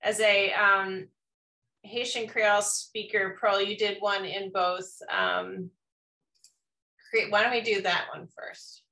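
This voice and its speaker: A woman's voice: mid-range voice, fairly even intonation, takes it slowly, sharp tone, rough recording. A woman hosting an online conversation, talking loosely with many fillers and restarts. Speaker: host. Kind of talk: casual online panel chat.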